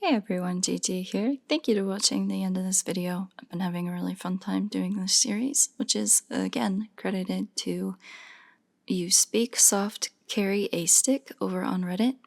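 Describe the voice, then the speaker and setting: A soft-spoken woman casually addressing her listeners in a relaxed way, as an audio roleplay creator. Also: medium pitch, moderate pace, creaky. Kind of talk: casual outro thanking listeners.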